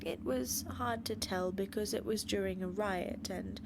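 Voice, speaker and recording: A woman's voice: mid-range voice, measured pace, background noise, natural voice. A woman playing a frail, starving famine horse in a comic radio play, whispery, wistful and naively rambling. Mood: wan, wistful.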